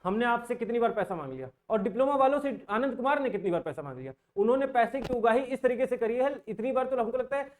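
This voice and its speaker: A man's voice: very high-pitched, expressive, fast, few pauses, slight background noise. A young man giving informal commentary to viewers, earnest and argumentative, mixing English words into his Hindi and often asking pointed rhetorical questions. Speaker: exam-aspirant community vlogger.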